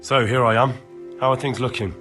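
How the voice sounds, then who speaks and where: A man's voice: medium pitch, fairly even intonation, fairly quick, music in the background, close to an everyday voice. An actor playing a plain-spoken, helpful young man of the common folk in a medieval video game, talking earnestly. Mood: reassuring. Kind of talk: video game cutscene acting.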